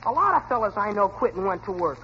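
Whiny, headstrong 1940s radio schoolboy, American, high-pitched; muffled, hissy vintage recording. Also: male voice, very animated, quick pace, bright, forceful tone, exaggerated voice. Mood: stubborn, fed up. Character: whiny, stubborn young teenage son. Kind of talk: 1940s radio comedy acting.

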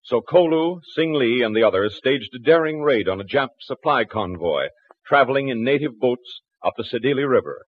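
A man's voice: medium pitch, expressive, normal speed, breathy edge, narrow, tinny audio. A man narrating and announcing an old 1940s radio adventure serial in scripted, dramatic broadcast style.

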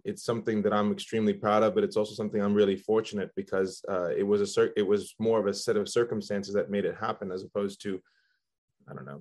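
A middle-aged man who grew up in Belgium speaks in a low voice as a guest in an interview. He answers in a measured, reflective way, pausing on ums as he thinks. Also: darkish tone. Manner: thoughtful, measured, with frequent ums. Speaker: guest, soccer general manager.

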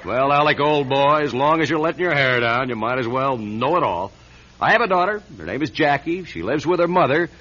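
A vain American talk-show star with showy, mock-sincere patter. His voice is bright and forceful, with lively swoops. The radio drama recording is a little dull. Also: mid-range voice, brisk, slight background noise. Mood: confessional, showy. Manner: showy, glib, mock-sincere, stammering asides.

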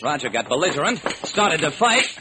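A man's voice: a little high, sing-song, normal speed. An actor playing a wry private detective who narrates his case in theatrical rhyme, in old-time radio style. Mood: brisk storytelling. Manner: theatrical, sing-song rhyming delivery. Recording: noisy vintage radio recording.

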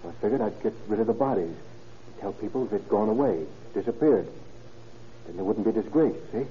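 A man's voice: fairly low pitch, animated, a little fast, dark tone, close to an everyday voice. Man acting a defensive young suspect, muffled old radio. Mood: grim recounting. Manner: slangy, earnest, pleading. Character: anxious young male murder suspect, defensive and talkative. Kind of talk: 1940s radio crime drama acting. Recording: muffled, noisy vintage radio recording.

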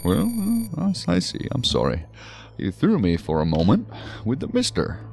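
An actor playing a talkative, learned psychiatrist who muses and explains at length in a science fiction audio drama. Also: male voice, medium pitch, wildly swooping pitch, moderate pace, gravelly, darkish tone. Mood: explanatory. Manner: wordy, musing, explanatory. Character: male psychiatrist, talkative, learned, genially hedging.

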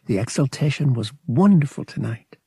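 A man voicing a timid, nervous young woman in an audiobook, breathy, uncertain and hesitant. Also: medium pitch, animated, normal speed, warm tone, no echo, clean studio sound, pitched above the performer's own voice. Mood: serene.

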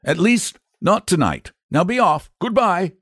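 A man voicing a kindly but firm grown-up storyteller in a storybook, brisk and abrupt. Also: high-pitched, dry recording, clean studio sound, pitched above the performer's own voice, lightly acted. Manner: brisk, abrupt, friendly dismissal.

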